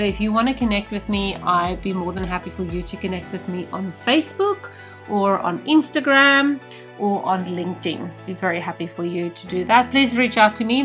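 A woman's voice: mid-range voice, a bit bright. A woman podcast host whose first language is Afrikaans, talking earnestly and personally to her listeners in a casual monologue.

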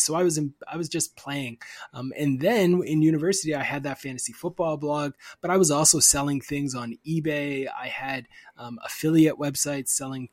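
A man's voice: medium pitch, no echo. Chatty man in his thirties, dry sound. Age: 30s. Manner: relaxed, chatty, many fillers and restarts. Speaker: guest, marketing agency founder. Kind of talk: casual interview conversation.

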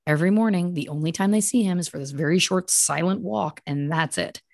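Woman podcast storyteller, lower-pitched, dry recording. Mock-serious, emphatic, chatty true-crime delivery with asides. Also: a little fast, creaky, dry recording. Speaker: podcast host telling a story. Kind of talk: conversational satirical true crime retelling.

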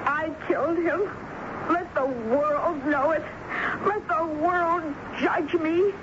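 A dull, noisy vintage radio recording carries a lovelorn woman. Her voice is high and slow. She speaks in halting, theatrical phrases, wounded yet defiant, as in a 1970s radio drama. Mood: impassioned. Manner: dramatic, halting, repeats words for emphasis.

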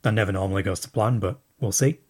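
A man's voice: lowish voice, quick pace, breathy edge. A man leaving a casual voice message as a listener to a show.

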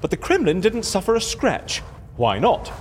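A man's voice: sits high, wildly swooping pitch, moderate pace. An actor playing an eccentric, boastful old male adventurer in an audio drama, with a breezy, self-assured, theatrical manner. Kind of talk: audio fiction drama acting. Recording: echoey reverberant hall, background noise.

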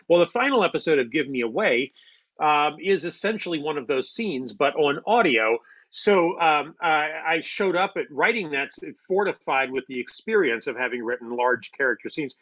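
A man's voice: a little high, a bit bright, dull audio. A man explaining his craft conversationally, as a writer being interviewed. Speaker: playwright and audio drama writer.

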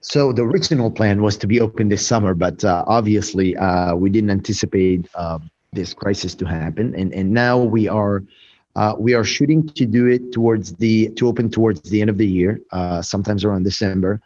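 A man who owns a restaurant answers interview questions, halting with many fillers and restarts. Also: lowish voice, a little mellow. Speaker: restaurant owner. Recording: dull lo-fi sound.

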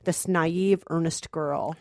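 A woman with a fairly low voice chats about films as a podcast co-host. She is measured and articulate, keeping it conversational, and the sound is dry and close. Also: steady tone, darkish tone, no room sound. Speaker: film review podcast co-host. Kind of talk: casual film review chat.

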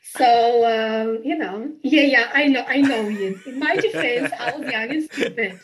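A fairly high-voiced woman in her thirties speaks with a Bulgarian accent. She chats easily as an interview guest, bubbly and quick to laugh at herself. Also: poor audio. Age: 30s. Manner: bubbly, laughs often, self-deprecating. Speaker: podcast guest. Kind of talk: casual interview chat.

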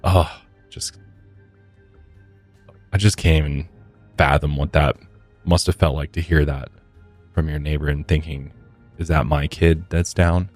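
A man hosting a podcast, telling a true crime story conversationally in a measured, matter-of-fact way with casual asides. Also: very deep voice, fairly even intonation, a little mellow, slightly rough, soft background music. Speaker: podcast host.

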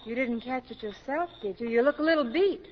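Caring American wife, high voice, vintage radio. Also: female voice, brisk. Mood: concerned. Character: concerned, patient, plain-spoken wife of an overworked doctor. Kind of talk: 1950s American radio drama acting. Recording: noisy, dull 1950s radio recording.

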